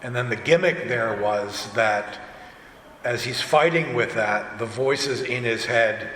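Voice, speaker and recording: A man talking casually as a director and actor on a convention panel, chatty and halting, often restarting his thoughts. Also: medium pitch, fairly bright tone. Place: large convention panel room. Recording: echoey hall, distant, noisy room. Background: room ambience and noise.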